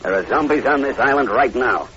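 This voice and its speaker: A man's voice: medium pitch, brisk, pushed and bright, lightly acted. Man playing a grave, commanding plantation boss, muffled. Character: tense, commanding American plantation owner, grimly believing in zombies. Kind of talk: old radio horror drama acting. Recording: dull lo-fi old radio recording, hiss.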